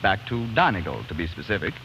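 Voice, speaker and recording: Animated low-voiced American radio announcer man. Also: fast talker. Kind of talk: 1940s radio announcing, scripted sponsor narration. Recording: muffled, hissy 1940s radio broadcast.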